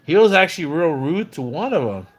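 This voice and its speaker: A man's voice: fairly high pitch, big pitch swings, a little fast. Casual, rambling male livestreamer. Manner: rambling, conversational storytelling, slangy; acts out quoted dialogue when recounting. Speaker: live streamer. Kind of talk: casual livestream chat about another streamer.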